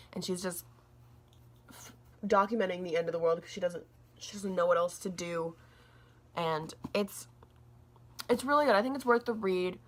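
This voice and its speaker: A young woman reviewing a book in a casual, rambling vlog, her throat a little scratchy and gravelly, with lots of fillers and restarts. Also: lowish voice, pushed and bright. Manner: rambling, says like a lot, restarts often.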